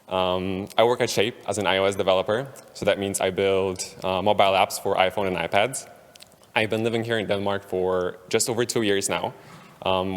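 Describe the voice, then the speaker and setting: A man, introducing himself in a relaxed way as a developer, with um's. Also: low-pitched. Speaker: panelist, iOS developer. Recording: long concert hall reverb.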